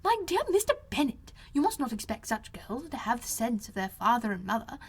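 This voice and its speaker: Audiobook role: fussy, scheming middle-aged mother, high-pitched, gushing, emphatic. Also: big pitch swings, fairly quick, breathy edge. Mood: indignant, coaxing.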